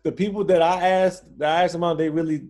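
A man's voice: on the high side, flat delivery, fast, darkish tone. A man from the United States, a guest basketball player, chatting in an easygoing, hesitant way. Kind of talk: casual interview chat.

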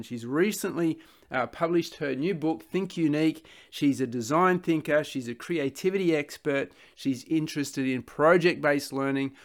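Upbeat male podcast host. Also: fairly high pitch. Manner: upbeat, friendly, with filler words. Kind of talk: podcast interview.

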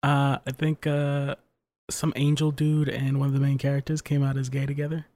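A young man co-hosting a casual late-night podcast, keeping his voice soft and hushed so he won't wake a sleeping child. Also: mid-range voice, completely flat delivery, moderate pace. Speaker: podcast co-host.

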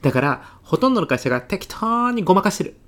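A man's voice: sits high, big pitch swings, fast, a bit bright. A man playing a mild, self-effacing classmate in his thirties with a sly salesman's streak, speaking politely in a comedy radio drama. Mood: casually expert. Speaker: actor in an audio comedy sketch.

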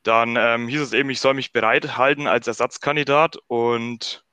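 A man in his early twenties from Bavaria talks as a podcast guest. His voice is bright and smooth, with a very dry, close sound. He answers questions in a conversational way. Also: medium pitch, fairly even intonation, moderate pace, no echo. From northern Bavaria, Germany.

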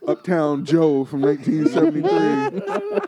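A man's voice: on the high side, wildly swooping pitch, unhurried, a loud crowd. A man in a casual group chat who talks with lots of energy, laughs a lot, and slips into funny voices.

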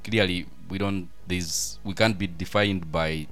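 Deep-voiced man hosting a casual interview. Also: fairly even intonation, measured pace. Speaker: interview show host.

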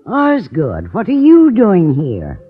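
An actress playing a sentimental, fretful older woman in love, pleading melodramatically in a radio sitcom with a husky voice. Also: on the low side, wildly swooping pitch, slow, exaggerated voice. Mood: shocked. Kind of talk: 1950s radio sitcom acting. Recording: dull old radio recording, audience behind.

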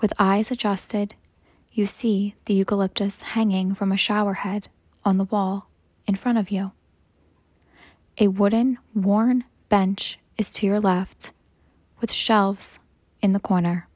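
A woman guides a meditation in a soft, breathy, hushed voice, measured and soothing, leaving long pauses between phrases. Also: mid-range voice, steady tone, no echo. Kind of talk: slow guided meditation narration.

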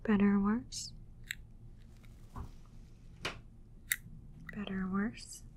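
A woman voicing a condescending female alien doctor in a soft whisper, giving matter-of-fact instructions in an ASMR exam roleplay. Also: medium pitch, steady tone, moderate pace, background noise, lightly acted. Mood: clinical, insistent. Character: female alien doctor, clinical, condescending, studying human captive.

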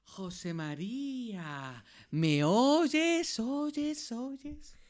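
A woman's voice: fairly high pitch, lively intonation, very slow, darkish tone. A woman with an accent from Spain voicing a solemn, soothing being of light that speaks from a radio, with trailing echoes, in a comic radio play.

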